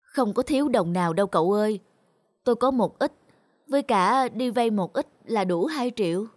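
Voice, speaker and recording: A woman's voice: medium pitch, animated, normal speed, darkish tone, no echo, natural voice. An actress voicing a poor middle-aged woman scrap collector in a cartoon, with a humble, pleading manner. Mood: anxious, humble. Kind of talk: cartoon voice acting.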